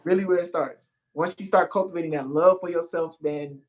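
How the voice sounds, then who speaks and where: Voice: mid-range voice, fairly even intonation, brisk, a little mellow. Host musing aloud in easy chat. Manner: loose, searching speech, acts out inner dialogue. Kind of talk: casual conversation.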